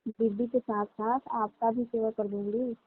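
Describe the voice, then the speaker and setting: High-voiced woman on a tinny phone line. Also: flat delivery, measured pace. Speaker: caller. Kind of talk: casual flirtatious phone chat. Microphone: phone call. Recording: thin narrow-band phone line.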